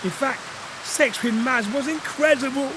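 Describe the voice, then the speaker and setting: A man's voice: very high voice, a little slow. An actor playing a hapless, defensive British man in an audio comedy drama, earnest and oblivious. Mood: earnest, sheepish. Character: hapless, defensive man back with his bossy partner. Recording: rain and hiss behind.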